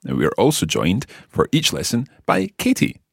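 Lively low-voiced male language teacher. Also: normal speed, vocal fry, husky, no echo, studio quality. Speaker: language teacher and podcast host. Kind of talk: podcast lesson intro.

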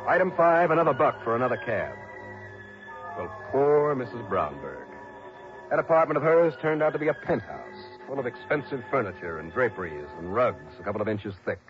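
An actor playing a dogged, wry insurance investigator in a mid-century radio detective drama, clipped and world-weary. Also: male voice, medium pitch, very animated, a little fast, music in the background, lightly acted. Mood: wry, narrating. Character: dogged, wry male insurance investigator narrating his case. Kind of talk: 1950s-60s radio detective drama acting. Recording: muffled old radio broadcast recording.

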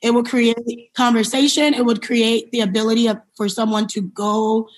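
A woman with a fairly high voice speaks as a guest in an interview. She is from New York City and tells her story in a reflective, explanatory way, leaning on key words. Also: little pitch movement, normal speed, poor audio. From born in Liberia, grew up in New York City. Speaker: interview guest, company founder and CEO. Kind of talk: conversational interview answers, personal storytelling.

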